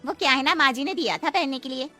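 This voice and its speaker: A woman's voice: high-pitched, fast talker, bright, forceful tone, soft background music. A woman voicing a naive young village housemaid in a comic cartoon story, simpering and artless. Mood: coy, innocent. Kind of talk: comic Hindi moral-story cartoon acting.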